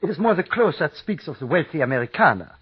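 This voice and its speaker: A man's voice: fairly high pitch, sing-song, fast talker, breathy edge, heavily acted. An actor playing an obliging innkeeper with a German accent, who speaks courteously and mixes German words into his English. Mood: polite. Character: obliging Austrian innkeeper, middle-aged man. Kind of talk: old-time radio drama acting. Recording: dull lo-fi old radio recording.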